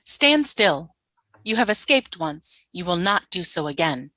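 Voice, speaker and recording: A woman acts out a fearless female alien soldier in a sci-fi radio drama. Her bright voice swings widely in pitch, with stiff, terse, obedient phrasing. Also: very animated, a little slow, no echo, exaggerated voice, low-quality recording. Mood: menacing. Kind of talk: sci-fi radio play acting.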